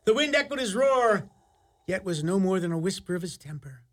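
A man's voice: high voice, big pitch swings, brisk, a bit bright. A man narrating a fairy-tale radio play in an ornate, dramatic, theatrical style. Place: radio studio.

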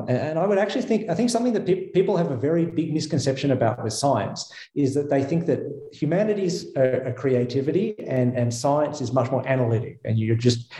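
Man, Australian accent, thoughtful, halting, mellow tone, roomy echo. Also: medium pitch. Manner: thoughtful, self-correcting, many fillers. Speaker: guest physicist. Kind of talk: radio interview answers.

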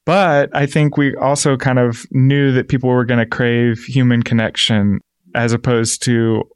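A man's voice: medium pitch, flat delivery, measured pace, clean tone, no echo, clean studio sound. A man, a shop co-owner, explaining things conversationally as an interview guest.

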